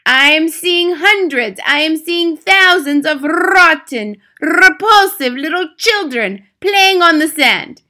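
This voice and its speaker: A woman's voice: fairly high pitch, sharp tone, voice pushed up, exaggerated voice. A woman voicing a cruel, furious grand witch from a storybook, screaming imperiously and drawing out her words. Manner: screaming, imperious, slow drawn-out emphatic words. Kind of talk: dramatic storybook character voice.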